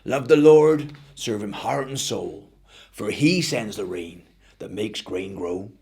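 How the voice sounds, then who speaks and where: A man voicing a gentle, modest old farmer in a children's story, speaking without hurry. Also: lowish voice, lively intonation, pitched below the performer's own voice. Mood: soft, reverent. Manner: gentle, unhurried.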